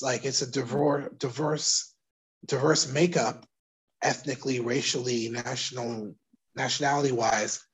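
Interview guest: New York man, husky mid-pitched voice, reflective and halting. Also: low-quality recording. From Brooklyn, New York. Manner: thoughtful, hesitant, with restarts and soft laughs. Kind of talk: casual interview conversation.

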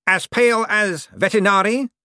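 A man voicing a vain, scheming rich young man who talks in a smooth, self-satisfied, dreamy way. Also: high voice, steady tone, normal speed, bright, forceful tone, no room sound, clean studio sound, voice pushed up.

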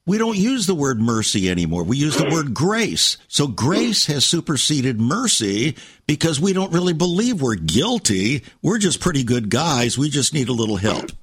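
A male radio host with a slightly raspy voice steers a conversation, summing up his guest and asking pointed questions. His pitch moves around a lot, giving him an animated delivery. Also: moderate pace. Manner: asks leading questions, sums up the guest. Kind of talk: conversational interview.

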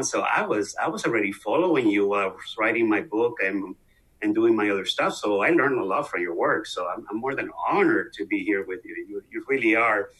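A man's voice: fairly low pitch, fairly bright tone, slightly rough, poor audio. A man in his sixties with a Venezuelan accent, answering as an interview guest, earnest, with many fillers and restarts. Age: 60s. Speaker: guest expert.

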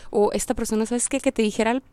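A woman's voice: on the high side, fairly even intonation, fast talker, dark tone, smooth, no room sound. A woman giving a casual, reflective monologue as a podcast host, informal and slangy, rambling as she thinks aloud. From Puerto Rico.

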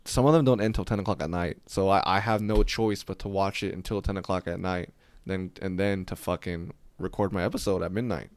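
A young man hosting a podcast, with a deep voice, chatting casually in a profane, rambling way. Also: steady tone, no room sound. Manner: profane, rambling, quick storytelling. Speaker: podcast host.

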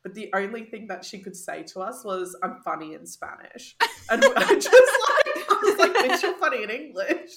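A young Australian woman with an Australian accent, co-hosting a casual podcast chat, blunt and teasing and quick to swear. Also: on the high side, sing-song, fairly bright tone, roomy sound. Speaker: podcast co-host.

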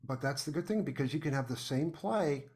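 A man's voice: on the high side, animated, a little fast, a little mellow. A man talking conversationally and emphatically as a guest in a podcast interview.

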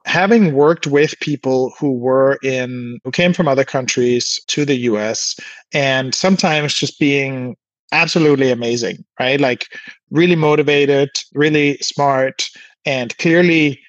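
A man's voice: medium pitch, a little slow, no echo, low-quality recording. A man from Denmark explaining things informally in a conversational interview, often hedging with asides. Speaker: podcast guest, biotech co-founder and scientist.

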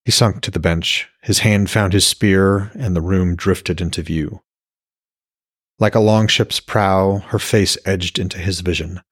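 A man narrates a fantasy audiobook in a low, husky voice. The recording is very dry and close, and the delivery is that of scripted storytelling. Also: no echo. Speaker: author and podcast narrator.

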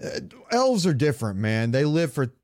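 A man's voice: medium pitch, very animated, normal speed, a little mellow. A man co-hosting a casual podcast, debating game lore in an argumentative, insistent way and often repeating himself. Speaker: podcast co-host.

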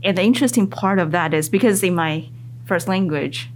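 A woman psychologist whose first language is Mandarin, talking in a casual interview with thoughtful, lively rises in her voice. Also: fairly low pitch, fairly quick, slight background noise. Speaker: psychologist guest.

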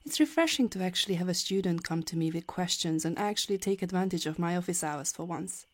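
A woman's voice: lowish voice, quick pace, natural voice. A woman playing a prim, condescending physics professor in an audio drama, with a formal, pompous manner. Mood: composed, condescending. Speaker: voice actor in an audio roleplay. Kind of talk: science fiction audio drama dialogue.

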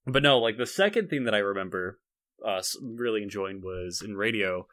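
A young man co-hosting a podcast, reminiscing casually in a halting, rambling way with frequent repeated words. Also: on the low side, animated, a bit bright, dry recording, clean studio sound. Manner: halting, repetitive, rambling delivery. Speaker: podcast co-host.

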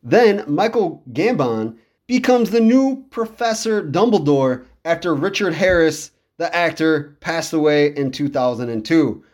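Higher-voiced man chatting solo. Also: animated, measured pace, a little mellow. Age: 30s. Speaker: podcast host. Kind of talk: casual solo commentary on films and shows.

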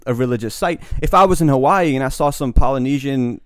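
A man's voice: mid-range voice, fast talker, clear, resonant tone, no echo, studio quality. A young man from Pakistan in casual podcast chat, rambling and slangy, often correcting himself mid-sentence.

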